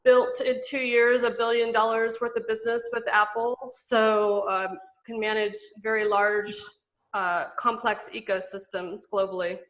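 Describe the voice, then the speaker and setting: A woman's voice: on the high side, little pitch movement, unhurried, a bit bright, low-quality recording. A woman giving an informal professional introduction of herself as a business manager.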